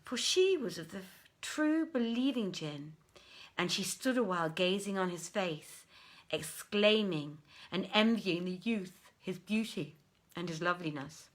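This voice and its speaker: A woman reading a literary tale as a dramatic storyteller, with measured, deliberate phrasing and theatrical pauses. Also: fairly low pitch, expressive. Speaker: storyteller, audiobook reader.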